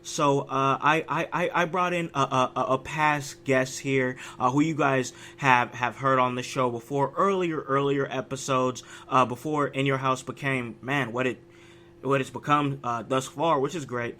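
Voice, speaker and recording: A man hosting a casual podcast chat, sounding raspy from a cold, talking with an animated, hyped-up energy and frequent restarts. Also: mid-range voice, moderate pace, slight background noise. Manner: animated, hype delivery with many restarts. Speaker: podcast host.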